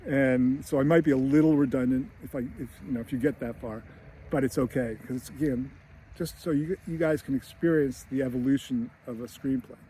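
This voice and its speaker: A man's voice: mid-range voice, fairly quick, mellow, a little hiss or hum. A middle-aged man with a Boston accent, a screenwriter talking casually to camera outdoors near a beach, rambling and chatty with frequent restarts. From United States. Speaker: screenwriter and teacher.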